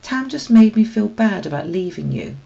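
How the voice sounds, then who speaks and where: A woman's voice: mid-range voice, expressive, normal speed, gravelly, warm tone, muffled recording, voice pushed up. A woman voicing a brusque, prickly female street cat, curt and snappy, in a children's storybook reading. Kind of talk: children's storybook read aloud.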